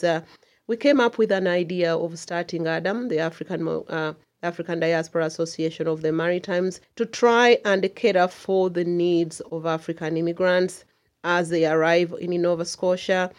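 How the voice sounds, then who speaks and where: A woman's voice: lowish voice, a little mellow. A middle-aged woman from Uganda, speaking earnestly and emphatically as a community association chair in a radio interview.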